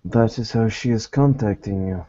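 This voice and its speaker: A man acting as game master in a tabletop roleplaying game, speaking in deliberate, measured phrases. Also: on the low side, warm tone, no room sound. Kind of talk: tabletop roleplaying game, narration and in-character dialogue. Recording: dull lo-fi sound.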